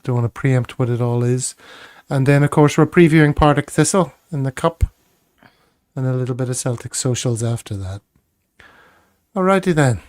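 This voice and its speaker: A man's voice: mid-range voice, moderate pace, darkish tone, no echo, clean studio sound. A man hosting a casual football podcast, upbeat and chatty as he greets guests and reads out comments. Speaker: podcast host.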